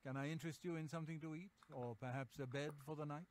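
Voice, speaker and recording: A man's voice: on the high side, steady tone, quick pace, darkish tone, close to an everyday voice. A man voicing a polite but weary innkeeper in a video game, hesitant when talking about trouble. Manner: polite, hesitant, slightly gossipy. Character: weary, businesslike male innkeeper.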